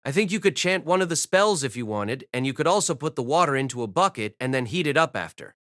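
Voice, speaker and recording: A man's voice: medium pitch, expressive, quick pace, a bit bright, no room sound, studio quality, lightly acted. A man playing a wry, self-deprecating reincarnated boy mage, narrating his own life in a conversational audiobook style. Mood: patient explaining. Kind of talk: first-person audiobook narration with acted dialogue.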